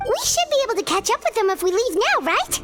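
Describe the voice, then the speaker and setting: An actress voicing a tiny, earnest young nurse in a video game, sweet and politely stubborn. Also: female voice, extremely high pitch, very animated, fast talker, over loud music. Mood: alarmed.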